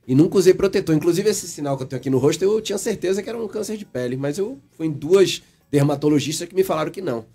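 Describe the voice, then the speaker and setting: A man's voice: a little high, expressive, rapid-fire, hardly any pauses, warm tone, breathy edge, soft background music. A man from Salvador, co-hosting a radio show and chatting offhandedly. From Salvador, Bahia. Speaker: radio co-host. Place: radio studio.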